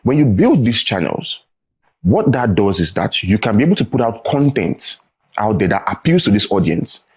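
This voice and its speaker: A man's voice: lowish voice, big pitch swings, normal speed, darkish tone, husky. A young adult man with a Nigerian accent, a guest in a studio interview, explaining earnestly and often asking "right?" or "you know". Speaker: tech training founder, guest.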